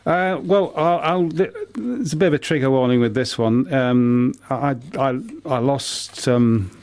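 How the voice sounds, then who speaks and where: An older British man, a poet being interviewed, who speaks haltingly and often repeats the starts of his words. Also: medium pitch, darkish tone. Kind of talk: casual radio interview.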